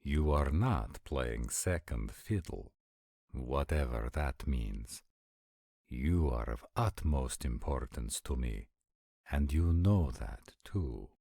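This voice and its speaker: Man acting a very deep, slow, formal vampire prince. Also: expressive, warm tone, no echo, clean studio sound, exaggerated voice. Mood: stern, defensive. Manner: stiff, formal, measured wording. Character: formal, dutiful vampire prince, male, patient yet weary. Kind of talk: roleplay audio drama.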